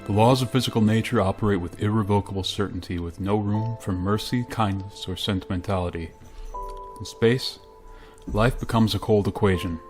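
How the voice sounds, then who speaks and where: Guy playing a space pilot in a radio play, deepish, dutiful and measured, bit of music behind. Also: darkish tone, lightly acted. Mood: grave. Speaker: radio drama actor and in-character narrator. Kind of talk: old-time radio drama acting. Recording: music under the voice.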